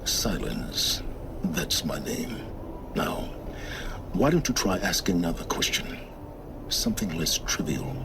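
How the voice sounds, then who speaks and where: An actor playing an aloof, impatient middle-aged scholar of ruins in a video game, measured and condescending. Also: male voice, low voice, very animated, background noise, heavily acted. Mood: stern, lecturing. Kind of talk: dramatic video game acting.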